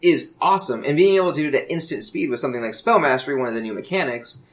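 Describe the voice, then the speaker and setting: A man's voice: on the high side, expressive, very fast. A man in a casual podcast chat about a card game, speaking as an enthusiastic, jokey co-host.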